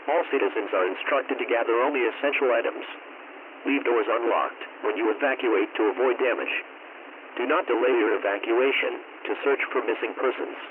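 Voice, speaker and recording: A man reading a scripted emergency broadcast announcement in a flat, formal, automated-sounding way through a radio alert filter. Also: medium pitch. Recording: tinny narrow-band radio, loud static noise.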